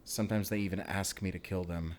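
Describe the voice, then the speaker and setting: A man acting as a Spanish vampire slayer in a romantic audio drama. His voice sits fairly low and carries a Spanish accent. He speaks closely and earnestly, like a tormented, tender hero talking to his lover. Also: fairly even intonation, brisk, darkish tone, a little hiss or hum, natural voice. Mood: grave, earnest.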